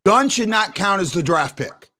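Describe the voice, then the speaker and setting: Loud, excitable man ranting on a fan show. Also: high-pitched, moderate pace. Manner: loud and excitable, rambling with restarts. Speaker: podcast host. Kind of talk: heated hockey fan call-in talk. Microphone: headphones.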